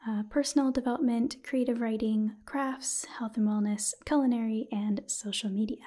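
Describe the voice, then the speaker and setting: A woman in her twenties presenting softly in an ASMR style, with a breathy, often whispered voice. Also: a little high. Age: 20s. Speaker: ASMR video creator reading a sponsor message. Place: small indoor room.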